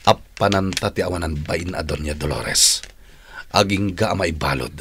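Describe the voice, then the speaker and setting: A man's voice: low-pitched, moderate pace, a bit bright, a little hiss or hum. A man acting a vengeful, hot-headed young man in a radio drama. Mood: vengeful, scheming.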